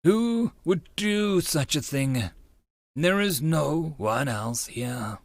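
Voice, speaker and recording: A man voicing a shaken young man in a visual novel, with dramatic, halting, emphatic delivery. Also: on the high side, animated, gravelly, no room sound, exaggerated voice. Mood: horrified. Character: young anime man, panicked, melodramatic student narrator.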